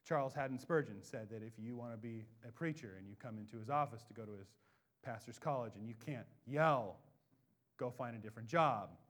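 An American man from the Pacific Northwest preaching a sermon, loud and animated, dropping into quiet asides before rising to booming emphasis. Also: a little fast. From Bellingham, Washington, USA. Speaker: pastor. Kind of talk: expository sermon.

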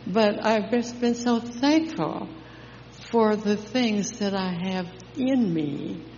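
An older woman sharing an emotional church testimony in a heartfelt, halting way. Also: medium pitch, slight background noise. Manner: emotional, heartfelt, with soft laughs. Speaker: congregation member sharing testimony. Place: church sanctuary. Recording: echoey church hall, dull sound.

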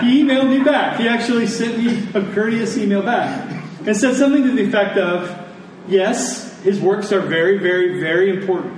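High-voiced male preacher in an echoey room. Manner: conversational, deliberate, with pauses and repeated phrases for emphasis. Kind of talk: sermon with storytelling and scripture exposition. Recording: echoey room, distant mic, faint noise.

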